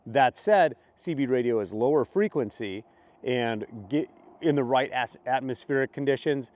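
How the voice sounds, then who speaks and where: A man's voice: medium pitch, moderate pace, darkish tone. A man answering questions as a product expert, conversational and matter-of-fact, thinking aloud as he explains. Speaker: radio shop expert answering viewer questions. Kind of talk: informal Q&A explainer.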